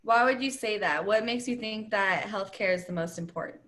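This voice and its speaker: A woman's voice: medium pitch, normal speed. A young woman moderating a focus group over a video call, laying out rules and asking questions in an organized way. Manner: organized, reads rules aloud in a steady tone.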